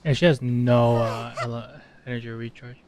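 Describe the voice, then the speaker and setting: A man's voice: medium pitch, little pitch movement, moderate pace. A man giving casual commentary while he plays a game, rambling and thinking aloud in a self-deprecating way. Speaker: gamer narrating gameplay. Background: game music.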